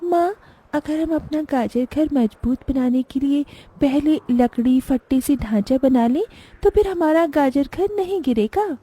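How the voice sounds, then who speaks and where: A woman's voice: sits high, lively intonation, unhurried, mellow. A woman voicing an earnest, eager little village girl with a childlike manner in a children's cartoon story. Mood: hopeful.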